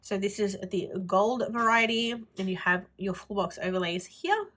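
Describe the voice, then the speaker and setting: A woman's voice: medium pitch, fairly even intonation, normal speed, fairly bright tone. A woman with a stuffy nose and a nasal voice, chatting casually through a planner haul video while she handles her items. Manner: chatty, rambling with "like" fillers. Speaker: planner vlogger.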